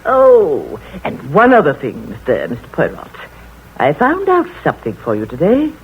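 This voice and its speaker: An actress playing a nosy, know-it-all village spinster who talks brisk and bossy, in a 1940s radio play. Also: female voice, low voice, wildly swooping pitch, slightly rough, full character voice. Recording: tinny old radio broadcast.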